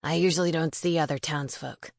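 A woman voicing a gruff, scowling, lazy male sheriff in an audiobook, curt and irritable. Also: low-pitched, fairly even intonation, a little fast, dry recording, studio quality, voice pushed down. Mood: defensive.

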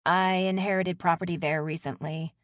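A woman's voice: fairly low pitch, fairly even intonation, normal speed, no echo, studio quality, close to an everyday voice. Woman doing a polite reserved city woman. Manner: polite, hesitant.

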